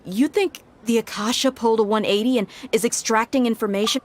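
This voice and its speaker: A woman playing a calm, measured desert guardian in video game voice acting. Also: fairly high pitch, heavy background noise, lightly acted.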